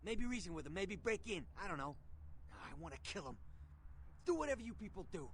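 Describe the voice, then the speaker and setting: An actor playing a wounded, whining, foul-mouthed vampire servant in a video game, strained and agitated. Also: male voice, high-pitched, very animated, brisk. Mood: pained, pleading. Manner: agitated, cursing, pleading. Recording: clear background noise, game ambience.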